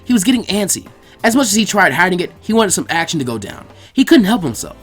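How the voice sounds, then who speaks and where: A man's voice: high voice, very animated, fast talker, breathy edge, soft background music. A man narrating fan fiction as a scripted story reading.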